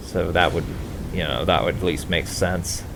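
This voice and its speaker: Deep male voice, rambling gameplay commentator, hesitant casual swearing, noisy recording with game sounds behind. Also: fairly even intonation, normal speed, gravelly. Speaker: let's play host.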